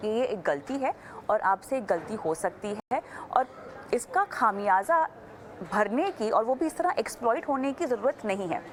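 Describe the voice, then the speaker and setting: A woman's voice: on the high side, animated, moderate pace. Woman host interviewing over background noise. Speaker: TV show host. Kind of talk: studio interview questioning.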